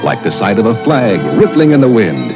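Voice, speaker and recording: A man reading a scripted 1960s radio advertisement with a smooth, persuasive sales delivery. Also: lowish voice, very animated, brisk, lo-fi sound. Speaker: commercial announcer. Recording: vintage band-limited radio, loud music.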